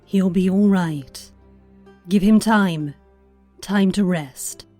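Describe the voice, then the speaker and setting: A woman playing a loyal, earnest knight in a fantasy audio drama, with deliberate, formal phrasing. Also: medium pitch, mellow, soft background music. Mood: reassuring. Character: loyal, earnest female knight, devout and measured.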